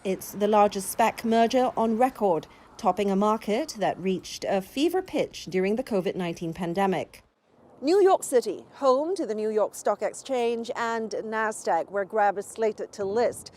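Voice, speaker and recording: A woman's voice: mid-range voice, lively intonation, moderate pace, mellow. A woman delivering broadcast business news as a reporter, in a polished, emphatic manner. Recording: music under voice, some location noise.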